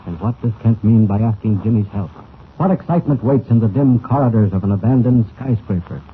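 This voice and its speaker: Dramatic old-time radio announcer, animated man. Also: on the low side, a little fast, breathy edge. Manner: grandiose, suspenseful, emphatic. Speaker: announcer and narrator. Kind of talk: dramatic 1940s radio serial narration. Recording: old narrow-band radio recording, noisy.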